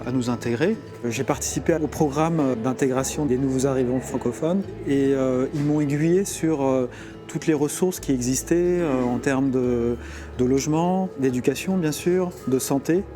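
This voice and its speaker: A man giving a personal testimonial in an interview, in hesitant, measured phrases. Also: mid-range voice. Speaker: immigrant father. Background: music bed.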